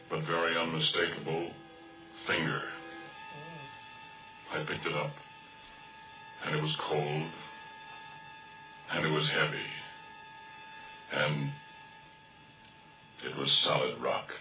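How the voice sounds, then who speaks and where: An actor playing a folksy oil-rig worker who rambles through an eerie yarn in homespun grammar on an old radio drama. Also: male voice, extremely low pitch, steady tone, measured pace, long pauses, sharp tone, soft background music, exaggerated voice. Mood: hushed wonder. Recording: vintage radio recording, roomy and distant.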